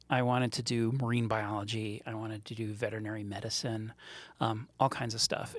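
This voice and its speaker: A man's voice: medium pitch, a bit bright, dry recording. A middle-aged man from Montreal, a startup co-founder and podcast guest, telling rambling, joking stories in a casual interview. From Montreal, Canada.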